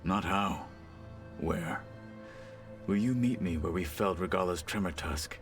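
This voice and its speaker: A stern, proud warrior of a tribe speaks in a deep voice. His phrasing is formal, clipped and solemn, in the dramatic manner of a video game, with music playing behind him. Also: measured pace. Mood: resolute, courteous.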